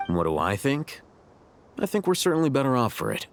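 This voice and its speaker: An actor playing a calm, rational young male intellectual in a video game, with a measured, dry, matter-of-fact delivery. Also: medium pitch, slight background noise. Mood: dry, wry.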